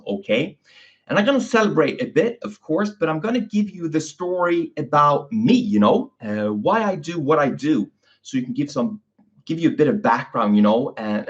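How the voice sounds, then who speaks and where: A man hosting his own podcast, talking casually and often tagging his lines with "okay?" and "you know". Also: mid-range voice. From Sweden. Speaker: podcast host, physiotherapist. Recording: dull lo-fi recording.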